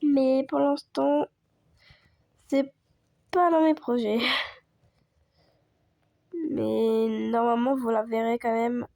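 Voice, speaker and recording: A woman chatting casually over gameplay as a video creator, hoarse from a cold and rambling with lots of fillers. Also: on the high side, fairly even intonation, slow, long pauses, warm tone. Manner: rambling, full of fillers and restarts.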